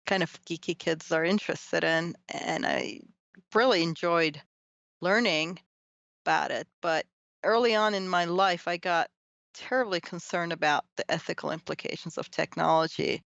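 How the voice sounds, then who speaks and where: A middle-aged woman from Turkey telling her story conversationally as an interview guest, in an animated, tumbling way with self-interruptions and amused asides. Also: lowish voice, moderate pace, gravelly, no echo, highs cut off. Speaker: interview guest, technology and society researcher.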